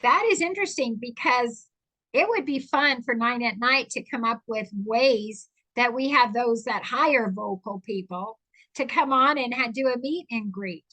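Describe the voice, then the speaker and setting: A woman hosting a talk show in a high, bright voice. She is effusive and enthusiastic, quick to praise her guests and move to the next question. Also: rough recording. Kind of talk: casual show chat.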